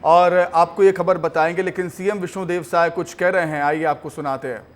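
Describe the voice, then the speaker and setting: A man's voice: on the high side, fairly even intonation, fairly quick, a little hiss or hum. A man reading television news as an anchor, in an emphatic style that repeats headlines, with music behind. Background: news music bed.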